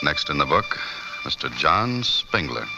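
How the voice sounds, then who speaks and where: An actor playing a wry, dogged American newspaper reporter in a deadpan 1940s radio drama style. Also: male voice, very deep voice, unhurried, creaky, exaggerated voice. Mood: terse, wry. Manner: deadpan, wisecracking. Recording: dull vintage radio recording, music behind.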